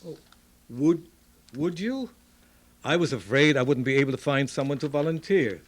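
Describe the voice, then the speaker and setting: A man playing an excited, courteous scientist and inventor in an old-time radio drama, with a grand, deliberate delivery. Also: mid-range voice, natural voice. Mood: eager, formal. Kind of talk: Golden Age radio drama acting.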